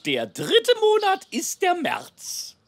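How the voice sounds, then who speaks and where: A clever, pompous cartoon gander gentleman, explaining things like a schoolmaster. The delivery is extremely slow and swings widely in pitch, over faint music. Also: wildly swooping pitch, exaggerated voice. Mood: instructive. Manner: pompous, drawn-out, didactic. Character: clever, pompous old-fashioned male gander teacher. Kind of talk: children's educational cartoon.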